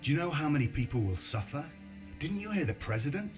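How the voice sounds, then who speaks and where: An actor playing a weary, reluctant war veteran haunted by past fighting, in dramatic video game style. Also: male voice, a little high, very animated, fast. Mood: weary, protesting. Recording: loud music under the voice.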